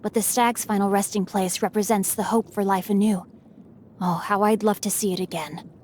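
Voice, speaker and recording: A woman's voice: medium pitch, steady tone, moderate pace, a little mellow, a little hiss or hum, lightly acted. A woman voicing a bookish, mischievous young noble boy in a video game, speaking in refined, formal phrases with a playful, teasing manner. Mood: wistful. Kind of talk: scripted game character voicelines. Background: background music.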